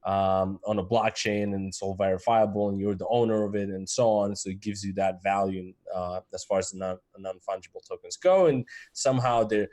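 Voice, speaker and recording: A man's voice: low-pitched, fairly even intonation, a little fast, hardly any pauses, darkish tone. A man with a Ukrainian accent co-hosting a casual crypto podcast, explaining news with many fillers and restarts. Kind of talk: casual panel discussion.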